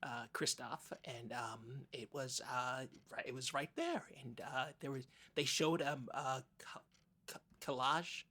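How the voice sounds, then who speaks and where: Woman doing nervous small town podcast cohost, husky but sharp voice, stammers and second guesses everything. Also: extremely low pitch, unhurried, full character voice. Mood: nervous, hesitant. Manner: hesitant, stammering, self-doubting. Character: nervous, unsure, earnest small-town co-host named Parker. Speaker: co-host of a comedy news podcast. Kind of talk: improvised mock local public-access news chat.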